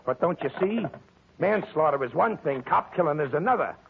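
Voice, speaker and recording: A man's voice: on the high side, lively intonation, brisk, creaky, a little hiss or hum. An actor playing an anxious, worn-out young man on the run in an old-time radio drama. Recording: muffled tinny old radio recording.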